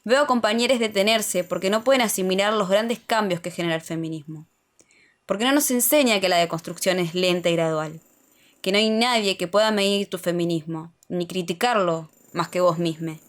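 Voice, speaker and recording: A woman's voice: lowish voice, moderate pace. A woman with an Argentine accent reads a scripted opinion essay aloud, as on a podcast. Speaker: podcast speaker.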